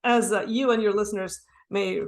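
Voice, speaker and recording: A woman's voice: mid-range voice, steady tone, normal speed. A woman from Mexico with a Mexican Spanish accent, speaking English as a second language, earnestly telling her story as a podcast guest and often adding "right?". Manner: earnest, reflective, frequent "right?" tags. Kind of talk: conversational interview.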